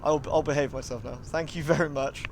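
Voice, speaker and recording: A man's voice: a little high, steady tone, a little fast, vocal fry, slight background noise. A man livestreaming, switching between polite, thankful chat with viewers and reading narration aloud. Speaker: live streamer reading a visual novel aloud.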